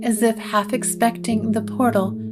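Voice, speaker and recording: A woman's voice: a little high, flat delivery, a little slow. A woman narrator reading a scripted gothic story. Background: guitar music.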